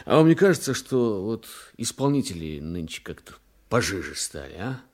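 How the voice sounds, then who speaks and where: Courteous probing investigator, lively intonation. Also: male voice, fairly low pitch. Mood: casually probing. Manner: polite, measured, quietly ironic. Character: courteous, probing Soviet police investigator questioning witnesses. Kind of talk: Russian radio play acting.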